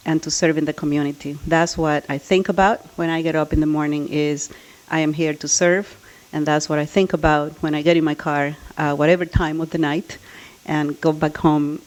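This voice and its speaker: A middle-aged woman from the Dominican Republic, a native Spanish speaker with a Dominican Spanish accent, addressing an audience in a measured, earnest way with deliberate pauses. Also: low-pitched, a little mellow, low-quality recording. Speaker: college administrator and job candidate. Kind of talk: public address and candidate question-and-answer.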